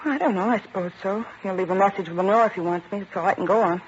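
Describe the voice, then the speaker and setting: Actress as defensive American wife in love, mid-range voice, earnest radio melodrama, muffled vintage sound. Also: female voice, medium pitch, quick pace, natural voice. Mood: uneasy, confiding. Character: guarded, lovestruck married woman under police questioning. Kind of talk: 1940s-50s radio drama acting. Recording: dull, faintly noisy vintage radio recording.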